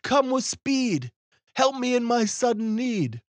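A man voicing a bold storybook ferryman, calling out loudly and urgently to summon spirits. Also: very high-pitched, big pitch swings, slow, no echo, voice pushed up, full character voice.

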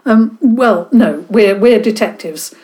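A woman's voice: medium pitch, slow pace, mellow, voice pushed up, lightly acted. A woman voicing a serious young boy detective asking pointed questions. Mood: flustered. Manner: businesslike, determined.

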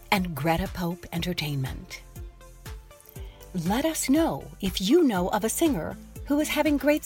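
A woman's voice: medium pitch, darkish tone. Upbeat woman reading a podcast outro. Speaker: podcast host. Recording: faint music behind.

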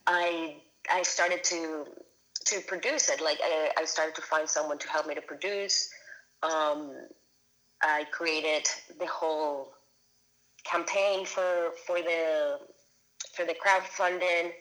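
A woman's voice: low voice, steady tone, slow, a bit bright, low-quality recording. A woman in her thirties from Venezuela, a filmmaker being interviewed, talking casually with many restarts and fillers. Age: 30s. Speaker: guest filmmaker.

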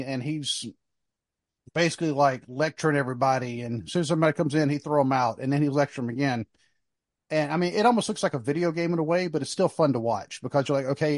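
A man's voice: medium pitch, no room sound. A man hosting a sports podcast, chatting casually and giving his opinions in a conversational way. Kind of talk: casual wrestling discussion.

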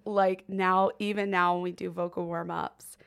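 Young woman podcasting, casual rambling, kinda gravelly fry on her voice. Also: on the low side, level tone, moderate pace, a bit bright. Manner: chatty, many fillers like "um" and "like", laughs often. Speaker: podcast co-host. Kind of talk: casual chat.

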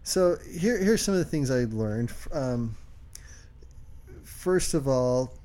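A man co-hosting a casual podcast, speaking quietly and hesitantly with lots of fillers. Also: mid-range voice, animated, slow. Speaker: podcast co-host.

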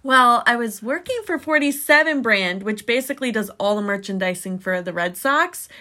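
A woman's voice: a little high, animated, normal speed, pushed and bright. A young woman co-hosting a podcast, bubbly and giggly in casual chat. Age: young adult. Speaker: podcast co-host.

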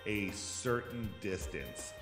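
An insistent, scornful man argues his case in a hard-edged monologue. His voice rings with heavy echo while loud music plays beneath it, as if cut into a remix. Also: fairly low pitch, expressive, slow pace. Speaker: flat earth speaker in a played clip. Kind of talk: emphatic argumentative monologue.

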